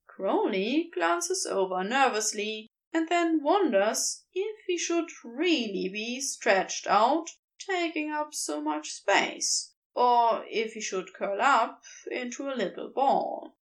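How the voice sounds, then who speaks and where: A woman reads a story aloud, taking her time over each line. Her voice sits a little high and rises and falls a lot. The telling stays calm and expressive throughout. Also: takes it slowly, rough recording. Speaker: podfic reader. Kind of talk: scripted narration of fan fiction.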